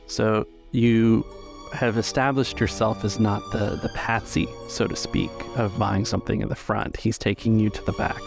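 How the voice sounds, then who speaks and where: A man with a lowish, gravelly voice narrates a tabletop game as its game master. He makes up descriptions deliberately, pausing as he goes, over clearly heard music. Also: level tone.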